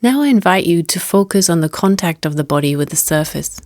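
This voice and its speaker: A woman guiding a meditation as a teacher, speaking in a gentle, unhurried and invitational manner. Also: fairly low pitch, lively intonation, dry recording, clean studio sound. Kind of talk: guided meditation narration.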